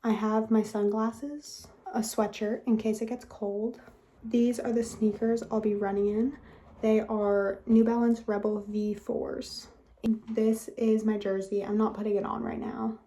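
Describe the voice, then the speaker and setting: A woman's voice: mid-range voice, little pitch movement, measured pace, mellow. Chatty teen girl vlogging on a phone. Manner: chatty, rambling, frequent "like". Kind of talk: casual vlog talk to camera. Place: outdoors at the beach, then at home.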